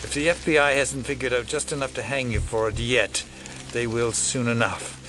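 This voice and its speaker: An actor playing a cynical, world-weary older conspirator, measured, fatalistic and wry, in an audio drama. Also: male voice, medium pitch, steady tone, noisy background, natural voice. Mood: matter-of-fact.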